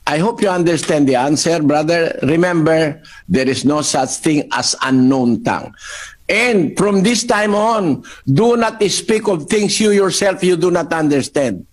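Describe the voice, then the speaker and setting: A man with a Filipino accent answering a Bible question in a sermon, slow and emphatic, repeating his key phrases. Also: fairly high pitch, lively intonation. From Philippines.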